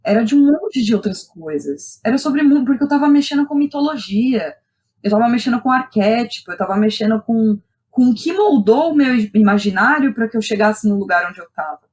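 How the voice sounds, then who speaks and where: A young Brazilian woman speaking as a podcast guest, telling personal stories in an animated, colloquial way and often checking in with the listener. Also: fairly high pitch, fairly quick, low-quality recording. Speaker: guest, playwright and theatre director. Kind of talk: casual personal storytelling in a podcast interview.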